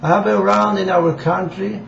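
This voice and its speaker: A man from Sweden with a Swedish accent gives an informal political address in halting, deliberate non-native English. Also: high-pitched, fairly even intonation, vocal fry, fairly bright tone. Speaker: amateur political campaigner addressing viewers. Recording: dull, echoey room with faint hiss.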